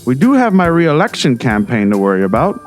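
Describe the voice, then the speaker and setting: A man playing a slick, self-serving small-town mayor in an audio drama, smooth-talking and condescending. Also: mid-range voice, wildly swooping pitch, a little fast, soft background music.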